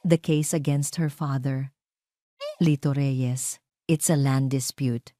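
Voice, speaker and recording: A woman's voice: extremely low pitch, fairly even intonation, slow pace, mellow, dry recording, clean studio sound. Woman as an urgent, businesslike Filipino office assistant. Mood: urgent, reporting.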